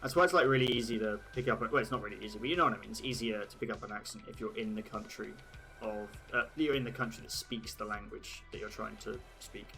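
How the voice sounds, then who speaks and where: A man's voice: lowish voice, quick pace, sharp tone, soft background music. A young British man with an occasional Australian twang, hosting a livestream and chatting casually with viewers, thinking aloud with lots of "like"s. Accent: British, with occasional Australian words. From Britain. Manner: chatty, laughs at his own jokes, thinks aloud with many "like"s. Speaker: livestream host.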